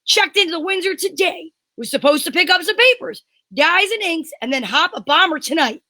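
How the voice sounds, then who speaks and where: A flustered, excitable police inspector from an old-time radio detective play, acted by a woman. The voice is extremely high and sharp, recorded dry, with melodramatic, agitated delivery. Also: a little fast, no echo, exaggerated voice. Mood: worried.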